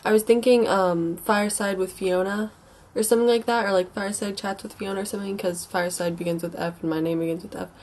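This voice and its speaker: A woman's voice: mid-range voice, fairly even intonation, quick pace, a little mellow. A young American woman with a congested, stuffy nose giving casual advice as a vlogger, in an informal, rambling and hesitant way. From United States. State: mildly sick with a stuffy nose.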